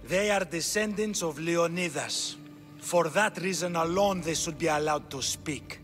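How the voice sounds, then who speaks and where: An authoritative king of Sparta in a video game drama. He speaks formally and with measure, in a somewhat high male voice over music. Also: a little slow, fairly bright tone, long reverb tail. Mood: reasoning. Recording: music behind dialogue.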